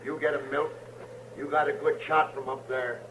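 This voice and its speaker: A man playing a surly horse thief with rough rustic speech in a western radio drama. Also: medium pitch, level tone, moderate pace, natural voice. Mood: defiant. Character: defiant, surly male horse thief in the Old West. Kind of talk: 1950s western radio acting. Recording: noisy, roomy old radio recording.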